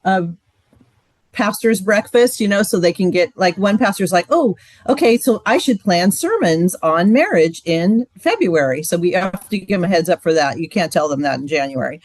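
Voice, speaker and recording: A woman's voice: lowish voice, expressive, moderate pace, no room sound. A middle-aged woman giving a casual, chatty training talk with lots of fillers. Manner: chatty, loose, frequent fillers. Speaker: ministry trainer.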